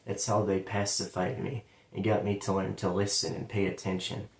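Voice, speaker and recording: Low-voiced man with an Ohio accent, roomy and distant. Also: flat delivery, moderate pace, creaky. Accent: central Ohio. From London, Ohio, USA. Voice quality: sometimes turns L into a W sound. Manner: casual, rambling, digressive. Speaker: vlogger telling his life story. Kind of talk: personal storytelling monologue.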